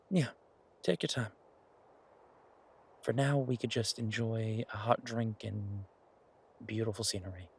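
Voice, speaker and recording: A man playing an earnest, playful boyfriend in a naturalistic one-sided audio roleplay, talking in a halting, wry, conversational way. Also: on the low side, creaky, a little hiss or hum. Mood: relaxed, gentle. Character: earnest, playful werewolf boyfriend pitching a farm venture.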